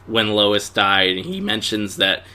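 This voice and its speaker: A man's voice: fairly low pitch, very animated, a little slow, pushed and bright. A man co-hosting a podcast, giving opinionated critique in a casual, conversational review style. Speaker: podcast co-host reviewing animated series.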